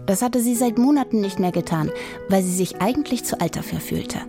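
A woman reading a children's story as an expressive audiobook narrator. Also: medium pitch, a little fast, music in the background.

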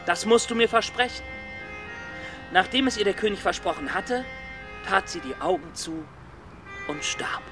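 High-voiced, flustered fairy-tale collector. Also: male voice, lively intonation. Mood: storytelling. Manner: flustered, halting, coaxing. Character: excitable, stammering male storyteller and fairy-tale collector. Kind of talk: children's radio play acting. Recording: music under the voice.

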